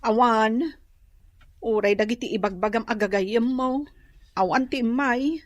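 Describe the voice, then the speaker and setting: Excitable brisk wife played by a woman, very high voice, Ilocano accent, dry recording, radio drama. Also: dry recording, lightly acted. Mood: dismissive.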